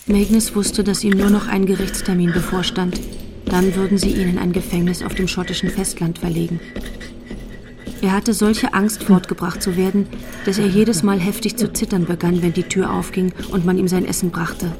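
Low, creaky female radio drama narrator. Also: level tone, moderate pace. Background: music.

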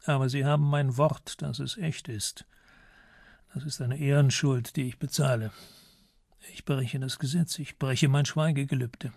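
A man playing a courtly, secretive old ex-intelligence officer in a radio crime drama, gravelly and formal, choosing his words with dignity. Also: mid-range voice, steady tone, a little slow, darkish tone, dry recording, clean studio sound. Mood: confiding, grave. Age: older.

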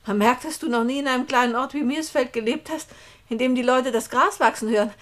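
Actress as anxious young woman, high voice, urgent, imploring, dramatic radio-play delivery. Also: fairly quick, lightly acted. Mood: pleading, insistent. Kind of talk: German radio play acting.

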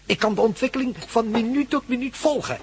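A man's voice: very high voice, brisk, a little hiss or hum. A man playing an obsessed, self-important professor of archaeology in a radio drama, pompous and emphatic. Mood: indignant, proud. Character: obsessed, self-important male archaeology professor, cold-hearted about science.

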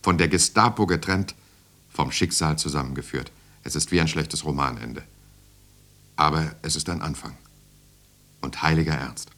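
An actor playing a grave man who survived the war, narrating his memories in a solemn, measured way for a radio drama. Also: a bass voice, a bit bright. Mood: solemn relief. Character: grave war survivor recalling bombed-out Germany.

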